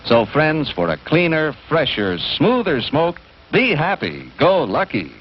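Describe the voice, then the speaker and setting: Very animated American 1950s pitchman. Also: husky, heavily acted. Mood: assured, persuasive. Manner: emphatic, measured sales pitch. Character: smooth-selling, assured male 1950s cigarette pitchman. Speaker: commercial announcer. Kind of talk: 1950s cigarette commercial announcing. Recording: dull, noisy 1950s broadcast.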